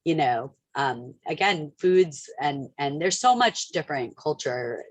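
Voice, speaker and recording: A woman's voice: deep voice, lively intonation, a little slow, dry recording. A woman telling personal stories in a chatty, easygoing way during a webinar on a video call, laughing easily as she goes. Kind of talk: webinar conversation.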